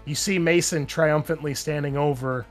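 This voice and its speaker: A man's voice: on the high side, fairly even intonation, moderate pace, faint music behind. A man acting as game master, narrating the action and talking through rules casually in a tabletop roleplaying session.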